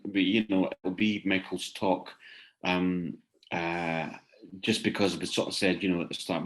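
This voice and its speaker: A man hosting an online seminar informally, hesitant and often repeating words as he searches for his phrasing. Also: low voice. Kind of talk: informal spoken closing remarks to attendees. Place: online video session.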